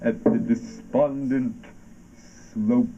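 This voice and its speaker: A man acting a brooding, sardonic ranter in an avant-garde stage monologue, halting and deliberate, with dramatic shifts of emphasis. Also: mid-range voice, fairly even intonation, dark tone. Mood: brooding, incantatory. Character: brooding, sardonic ranter delivering absurdist anti-war poetic monologue. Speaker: stage actor. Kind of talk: theatrical monologue from an avant-garde radio play adapted for stage. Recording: dull, noisy lo-fi stage recording.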